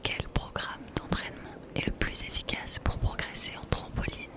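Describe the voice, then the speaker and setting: A woman reading out questions in a breathy whisper. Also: normal speed, a bit bright.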